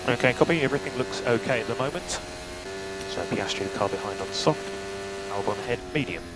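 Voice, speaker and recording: A man's voice: mid-range voice, expressive, brisk, loud music behind the voice. A man working as a race engineer, giving clipped, procedural updates over team radio. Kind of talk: terse race radio updates, calm reporting. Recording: noisy team radio, humming engine noise. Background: loud hum and vehicle noise.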